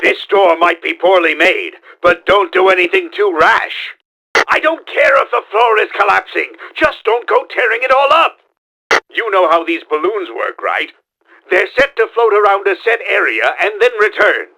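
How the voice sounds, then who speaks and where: A man playing a pompous, scheming middle-aged mad-scientist villain in a video game, with a gravelly, forceful, theatrical delivery. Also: high voice, expressive, moderate pace, exaggerated voice, poor audio. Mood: bossy, scheming. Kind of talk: exaggerated video game voice acting.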